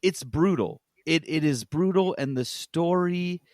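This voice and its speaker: A man's voice: on the high side, a little slow, a little mellow, no echo, clean studio sound. A man co-hosting a podcast, giving a casual, rambling review and often correcting himself as he goes. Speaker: podcast co-host reviewing games.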